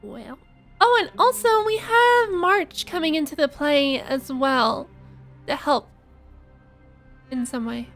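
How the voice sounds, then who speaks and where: Casting: female game streamer, extremely high voice, conversational, theorizing and reading aloud as she reacts. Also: lively intonation, slow pace, long pauses, soft background music. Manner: thinking out loud, conversational.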